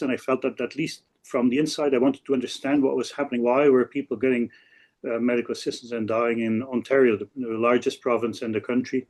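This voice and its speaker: A man in his sixties from Belgium, a native Dutch speaker with a Belgian Dutch accent, speaking haltingly as a law professor introducing his work on a webinar. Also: medium pitch, a little mellow. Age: 60s. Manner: halting, with frequent hesitations and repeats. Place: office.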